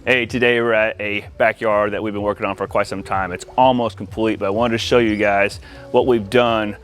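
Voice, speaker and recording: A man's voice: lowish voice, fairly even intonation, brisk, fairly bright tone. A man giving an informal, conversational product showcase outdoors in a backyard, addressing viewers casually as an installer. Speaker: audio-video installer presenting for company channel. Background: music.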